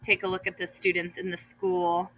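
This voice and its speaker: A woman, a teacher, reading a children's story aloud expressively and deliberately, stressing repeated words. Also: on the low side, monotone, a bit bright, low-quality recording. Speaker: first grade teacher. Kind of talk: children's story read-aloud with asides to pupils.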